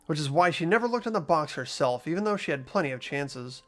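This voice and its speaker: A man voicing an earnest, easily startled teenage schoolboy in an amateur game dub, stammering and expressive. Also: on the high side, quick pace, lightly acted. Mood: pensive. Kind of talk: amateur voice acting in a visual novel playthrough.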